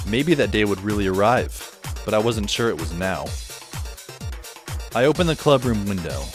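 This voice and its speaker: Over clearly audible music comes a man playing a sardonic, exasperated teenage boy. His voice sits fairly low as he narrates an audio drama. He talks wryly and conversationally, often questioning himself. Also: close to an everyday voice. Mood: musing. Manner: wry, self-questioning, conversational. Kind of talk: first-person audio drama narration and dialogue. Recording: music behind the voice. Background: background music.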